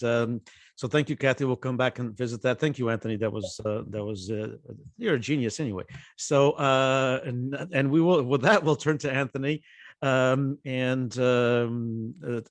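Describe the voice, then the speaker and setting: A man's voice: mid-range voice, no echo. A man moderating a panel, thanking speakers and handing over in a rambling way. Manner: rambling, many uh and um.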